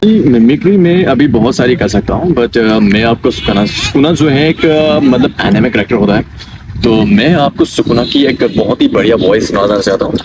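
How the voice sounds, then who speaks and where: A man's voice: mid-range voice, expressive, normal speed. A young man from Kishtwar in India giving earnest, repetitive explanations in an informal interview. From Kishtwar, Jammu and Kashmir, India. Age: young adult. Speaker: interviewee, amateur dubbing and mimicry artist. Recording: noisy outdoor recording, distant mic, traffic.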